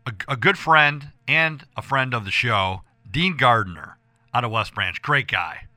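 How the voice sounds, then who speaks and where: Hoarse american guy doing radio host talk, really sharp forceful voice, rambles n repeats himself, dry studio sound. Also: medium pitch, lively intonation, measured pace, dry recording. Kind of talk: casual radio talk with announcements to listeners.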